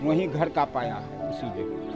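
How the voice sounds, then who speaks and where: Animated, highish older village man. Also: a little slow. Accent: rural Bihari. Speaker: villager being interviewed. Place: outdoors by a village pond. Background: music.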